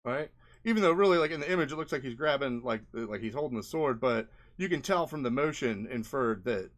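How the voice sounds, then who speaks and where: A man's voice: mid-range voice, animated, a little fast. A man giving casual, unscripted commentary as an indie developer presenting a video, rambling and often tagging on "like" and "right?", with an exasperated edge. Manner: rambling, frequent "like" and "right?" tags.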